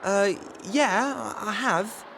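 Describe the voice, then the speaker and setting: A man's voice: sits high, takes it slowly, creaky, over music, exaggerated voice. A man playing a helpful teenage schoolboy hero in a video game, casual and slightly hesitant. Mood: easygoing.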